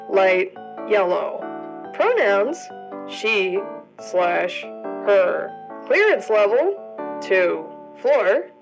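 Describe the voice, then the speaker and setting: A woman's voice: mid-range voice, creaky, exaggerated voice, rough recording. A woman playing a polished orientation video narrator, over-enunciating an official script in an audio drama. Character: cheery female corporate orientation narrator, privately exasperated.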